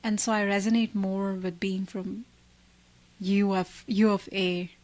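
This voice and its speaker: A woman's voice: medium pitch, little pitch movement, a little slow, a little mellow. A woman in her twenties from India, co-hosting a podcast in casual chat, thinking aloud with many likes and restarts. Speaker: podcast co-host.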